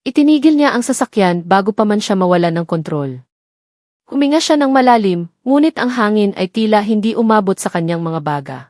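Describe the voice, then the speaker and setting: A woman's voice: medium pitch, expressive, brisk, a little mellow, dry recording, studio quality. A woman reading a scripted dramatic story as its narrator.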